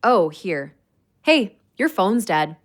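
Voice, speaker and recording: A woman's voice: mid-range voice, very animated, takes it slowly. An actress playing a fed-up, hard-working young girlfriend who stands her ground in a naturalistic audio drama. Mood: indignant, suspicious. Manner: confrontational, exasperated.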